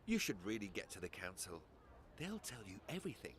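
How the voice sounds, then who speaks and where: A man playing a guarded, sly spy in a fantasy game, with an evasive, arch and measured manner. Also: on the low side, huge pitch swings, fairly bright tone. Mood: guarded, cool. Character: guarded, sly male spy of an empire's intelligence service. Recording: clear background noise and ambience.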